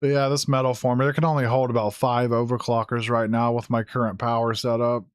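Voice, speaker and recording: A man with a hoarse, strained voice talks through his gameplay in a loose, rambling way, correcting himself as he goes. His pitch sits in an ordinary middle range. The sound is dry and close, with no room around it. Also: level tone, fairly quick, dry recording. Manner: rambling, thinking aloud with fillers and self-corrections. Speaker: gaming YouTuber. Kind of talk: casual Let's Play commentary.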